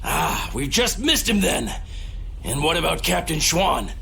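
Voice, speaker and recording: Stuffy excitable knight, game voice, bright and really animated, formal, bit of bg noise. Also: male voice, a little high, measured pace, gravelly, exaggerated voice. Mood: earnest, worried. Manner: pompous, theatrical, formal. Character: pompous, excitable, loyal male knight officer. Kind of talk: video game voice acting.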